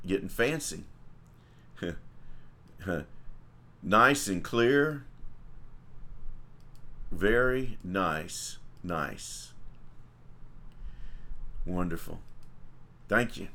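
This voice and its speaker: A priest speaks in a low, unhurried voice. He leads morning prayer on a livestream, chatting in a folksy way and greeting viewers by name. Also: fairly bright tone.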